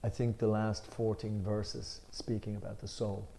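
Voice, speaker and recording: Mellow-voiced Irish man leading a study. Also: on the low side, gravelly, a little hiss or hum. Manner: emphatic when reading, then relaxed and unhurried with fillers. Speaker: scripture discussion leader. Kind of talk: reading a commentary aloud, then explaining it.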